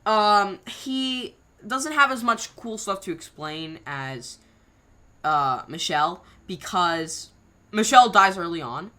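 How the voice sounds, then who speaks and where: A woman's voice: mid-range voice, animated, slow, pushed and bright. A woman hosting her channel, chatting casually through scrapped voice lines, rambling with slang exclamations. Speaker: channel host.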